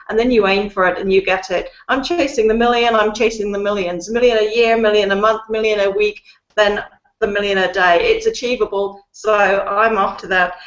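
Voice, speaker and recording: A middle-aged woman chats upbeat about her business on a video call. Her voice is a little high. It comes through a dull, lo-fi line with an echoey room around it. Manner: chatty, upbeat. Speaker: guest. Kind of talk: casual testimonial. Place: living room.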